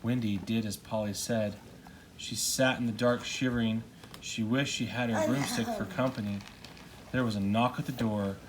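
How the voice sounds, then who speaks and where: A man's voice: lowish voice, steady tone, moderate pace, crowd noise. A father reading a storybook aloud in narration, recorded at home. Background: household noise, young child interjecting.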